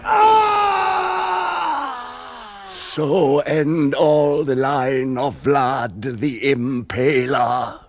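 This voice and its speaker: An actor playing a proud undead vampire patriarch in a radio drama, declaiming theatrically. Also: male voice, medium pitch, very animated, very slow, long pauses. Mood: anguished, grandiose. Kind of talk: BBC radio drama acting. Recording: 1970s radio recording with faint background noise.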